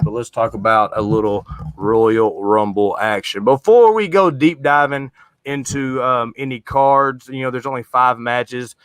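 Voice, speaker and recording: Chill dude hosting a stream, smooth and a bit high, dry close sound. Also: male voice, fairly bright tone, no room sound. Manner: slangy, loose, rambling. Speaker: host. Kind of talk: casual streaming chat.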